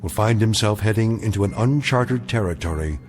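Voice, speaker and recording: A man narrating a radio drama in a measured, solemn storytelling manner. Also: lowish voice, animated, close to an everyday voice. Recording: music beneath the voice.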